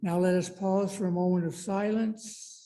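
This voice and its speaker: A woman leading a church service, giving announcements and reading a meditation in a measured, deliberate way. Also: fairly low pitch, fairly even intonation, warm tone. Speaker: worship service leader.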